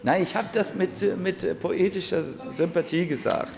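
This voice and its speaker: A man, a spiritual teacher, giving an informal, gently joking talk to a seated audience in a room. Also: high voice, steady tone, vocal fry, music in the background. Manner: unhurried, gently joking, thinking aloud. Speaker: spiritual teacher leading an evening gathering. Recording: live audience heard around the speaker.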